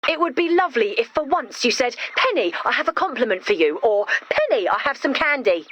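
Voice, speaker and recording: A young video game heroine with a high voice. She is chatty and earnest, a romantic who gently bosses people around with a wry edge. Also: big pitch swings, brisk, dry recording, dull audio, heavily acted. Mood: gently scolding. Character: earnest, romantic, gently bossy young woman organizing monster wedding.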